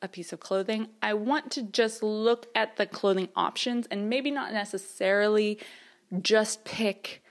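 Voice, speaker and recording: A woman's voice: medium pitch, a little slow. A woman, chatting casually to camera in a rambling way with fillers and small laughs. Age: 30s. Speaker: vlogger. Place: her mother's house, indoors.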